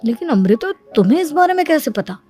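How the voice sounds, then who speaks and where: A woman's voice: sits high, sing-song, fast, dark tone, faint music behind. A woman voicing a puzzled, easily impressed young husband in a family cartoon, full of questions. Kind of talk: Hindi cartoon story dialogue.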